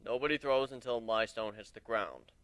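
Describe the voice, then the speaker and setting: Man acting a wolf pack leader, stern, measured, few words, fantasy story reading, dry recording. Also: medium pitch, fairly bright tone, no room sound, close to an everyday voice. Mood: commanding. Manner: measured, terse, commanding. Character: stern male wolf pack leader, commanding combat instructor.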